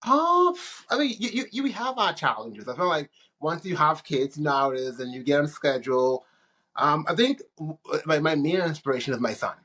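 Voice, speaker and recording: A man's voice: on the high side, moderate pace. Laid-back Bahamian-raised man, chatty. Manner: relaxed, rambling, frequent restarts and laughs. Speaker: guest. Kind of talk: casual interview chat.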